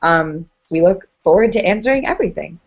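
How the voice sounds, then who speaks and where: A woman's voice: on the low side, expressive, a little fast, highs cut off, slight background noise. A woman introducing a student panel in an upbeat, self-deprecating way over a video call. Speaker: panel moderator.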